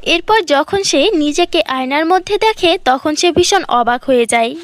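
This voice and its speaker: A woman's voice: sits high, animated, a little slow, dry recording. A woman reading scripted fairy-tale narration for a cartoon, as a storyteller.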